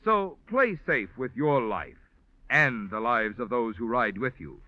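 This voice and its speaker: Animated American male radio announcer. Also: mid-range voice, measured pace, no echo. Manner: polished, emphatic sales delivery. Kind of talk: 1940s radio advertising announcement. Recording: dry, muffled vintage radio recording.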